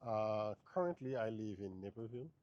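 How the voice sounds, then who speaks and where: Thoughtful Haitian-born man, low voice. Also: lively intonation, a little fast, muffled recording. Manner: thoughtful, hesitant, many fillers and restarts. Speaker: interviewee. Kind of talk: casual interview answers.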